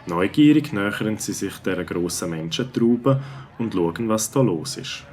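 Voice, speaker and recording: A man's voice: fairly low pitch, animated, unhurried, darkish tone. A man narrating a scripted children's story as the narrator of an audio play.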